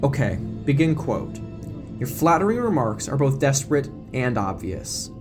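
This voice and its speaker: A man's voice: medium pitch, sing-song, normal speed, music in the background, natural voice. Man playing a curt, bossy woman TV reporter. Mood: curt, dismissive. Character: brusque, self-assured celebrity woman TV reporter. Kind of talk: audio drama character acting.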